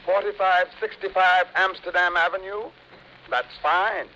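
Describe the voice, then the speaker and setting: Bright, high, exasperated company boss. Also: male voice, fairly even intonation. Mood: stern, impatient. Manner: brisk, stern, formal. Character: exasperated, demanding male construction company boss. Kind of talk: old-time radio comedy acting. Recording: faintly noisy vintage radio recording.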